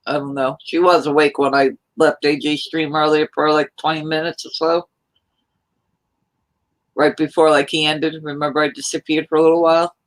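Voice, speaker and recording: A woman from New York chatting casually on a live stream, rambling in a loud, hyper way. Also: deep voice, little pitch movement, moderate pace, poor audio. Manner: rambling, hyper, self-described loud talker.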